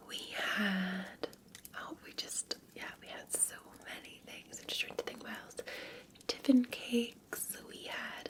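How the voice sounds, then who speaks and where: A Scottish woman speaking in a soft, breathy whisper, gently and soothingly chatting to the listener as a barista in an ASMR roleplay. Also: medium pitch. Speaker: ASMR performer playing a cafe barista. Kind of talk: ASMR roleplay, unscripted-sounding chat to the listener.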